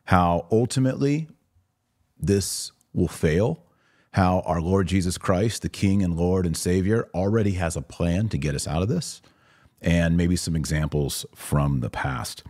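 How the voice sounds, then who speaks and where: An American man hosting a religious commentary podcast, speaking emphatically with deliberate pauses for stress. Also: deep voice, a little mellow. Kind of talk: opinionated commentary to camera.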